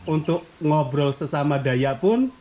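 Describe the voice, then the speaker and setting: A man's voice: fairly high pitch, a little slow, crowd noise. Inquisitive man chatting as a village vlogger. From Java, Indonesia. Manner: inquisitive, repeats answers back. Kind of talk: casual on-location chat.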